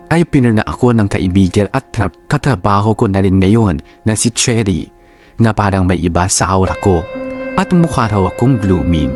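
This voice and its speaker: A man with a Filipino accent reading a story as dramatic, measured scripted narration. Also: lowish voice.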